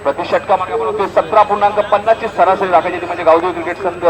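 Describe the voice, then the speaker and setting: A man's voice: high-pitched, flat delivery, racing. A man with a Maharashtrian accent doing live cricket commentary and announcements at an outdoor local tournament, emphatic and repeating the score as he calls the play. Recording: noisy outdoor recording with loud crowd.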